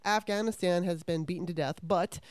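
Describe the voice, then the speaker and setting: A woman hosting a podcast, talking in a chatty, animated way with frequent restarts, close to the microphone. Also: lowish voice, brisk, dry recording. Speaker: podcast host. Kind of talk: casual interview chat.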